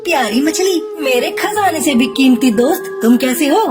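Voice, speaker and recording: A woman's voice: high voice, lively intonation, moderate pace. A woman voicing a jealous, spiteful male bird in a children's cartoon story, with a snide, scheming manner. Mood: coaxing. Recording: music bed under the voice.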